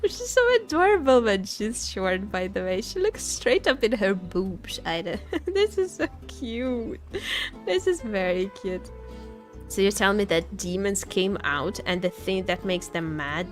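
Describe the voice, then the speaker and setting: A woman streaming a game with casual, playful, rambling chat, teasing and often repeating her phrases. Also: medium pitch. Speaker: VTuber streamer. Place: indoor home streaming setup.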